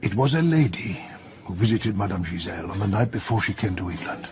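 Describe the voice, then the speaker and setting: An actor playing a brisk, courteous French police inspector in an audio drama, official and businesslike. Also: male voice, on the low side, expressive, a little mellow, lightly acted. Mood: confiding.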